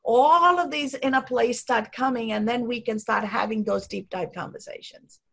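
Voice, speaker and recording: Sri Lankan woman, gravelly podcast host. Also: fairly high pitch, moderate pace, fairly bright tone. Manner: explanatory, frequently adds "right?". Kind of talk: conversational podcast discussion.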